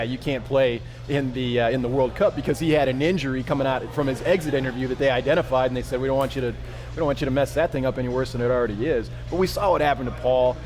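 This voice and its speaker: A man in his thirties giving analysis on a sports talk panel in a sports bar. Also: medium pitch. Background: music.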